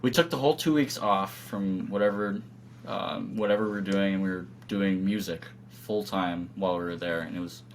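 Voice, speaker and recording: Male band guest, lowish voice, casual chat, faintly noisy humming recording. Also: moderate pace. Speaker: band guitarist being interviewed. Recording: clear background hum and noise.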